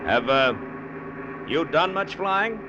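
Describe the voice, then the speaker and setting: A man's voice: on the high side, expressive, takes it slowly, very noisy. An American actor playing an easygoing, wry barnstorming pilot who tells his story in casual slang in a 1940s radio drama. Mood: breezy, amused. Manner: casual, colloquial, folksy slang. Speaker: actor and narrator. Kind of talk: 1940s radio drama acting with first-person narration. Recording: dull vintage radio recording with loud music.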